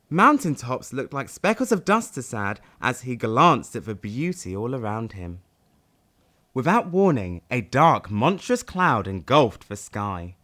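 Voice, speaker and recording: A man reading a children's audiobook as narrator, with dramatic, expressive delivery. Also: medium pitch. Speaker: audiobook reader.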